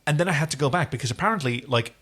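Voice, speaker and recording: A man's voice: on the high side, expressive, very fast, a bit bright. A man co-hosting a podcast, casually recapping a TV episode in a jokey, digressive way. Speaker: podcast co-host.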